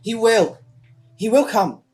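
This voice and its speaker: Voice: medium pitch, animated, slow pace, darkish tone. A woman playing an earnest, determined teenage boy wizard in an audio drama. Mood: insistent.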